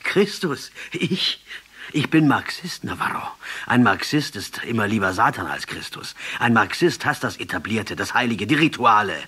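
An actor in a radio play playing a captured Marxist guerrilla commander, defiant, ironic and intellectual, speaking emphatically. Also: male voice, fairly low pitch, big pitch swings, moderate pace, bright, forceful tone, exaggerated voice. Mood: sardonic, defiant. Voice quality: husky. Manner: sardonic, emphatic, declamatory.

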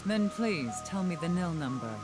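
An actress playing a composed, enigmatic company president in a video game, speaking formally and with measured poise. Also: female voice, on the low side, expressive, natural voice. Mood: probing. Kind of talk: English game voice acting. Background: game music.

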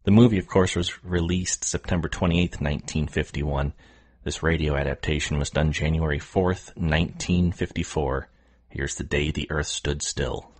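A man's voice: a bass voice, steady tone, normal speed. A man giving a scripted introduction as host of a podcast.

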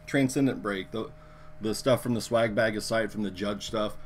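A man with a hoarse, worn voice hosting a live card-opening stream, chatting loosely with viewers and using many fillers. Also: lowish voice, moderate pace, a little hiss or hum. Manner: relaxed, filler-heavy, talks to chat viewers. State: hoarse, lost his voice. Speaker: card break host.

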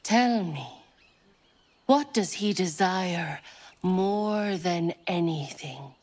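A very slow, solemn mystical nature priestess. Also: female voice, lowish voice, lively intonation, darkish tone, full character voice. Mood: reverent. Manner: ceremonial, poetic, deliberate, often breathy. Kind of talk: video game character acting.

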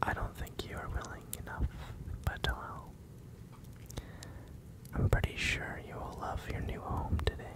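A performer voicing a male werewolf captor in a breathy, slow, teasing whisper, close to the mic in an ASMR roleplay. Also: natural voice. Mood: soothing, coaxing. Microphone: close microphone, near the ear.

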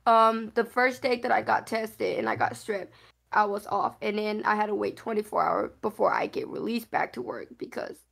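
A woman's voice: mid-range voice, big pitch swings, normal speed, vocal fry, a bit bright. A woman who is losing her voice to illness, chatting bluntly and telling stories while playing an online game. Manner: blunt, storytelling, swears freely. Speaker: game player. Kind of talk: casual chat.

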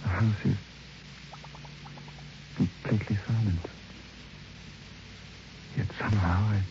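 An actor playing a nervous, polite man in an old radio drama, with a hesitant, musing manner. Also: fairly low pitch. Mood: uneasy. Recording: hissy, dull old radio recording.

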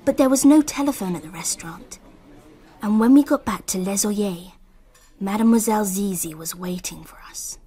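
An actress narrating a radio drama as a wistful English girl recalling a summer, in hushed, lyrical storytelling. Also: mid-range voice, normal speed, breathy edge, close to an everyday voice.

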